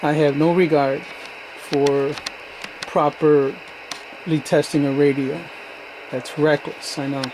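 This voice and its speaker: Higher-voiced man giving a hands-on demo. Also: flat delivery, slow pace, long pauses. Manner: plain-spoken, step-by-step explaining. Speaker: CB radio hobbyist demonstrator. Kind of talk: casual hands-on product demonstration. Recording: noisy recording with radio static. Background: radio static and background noise.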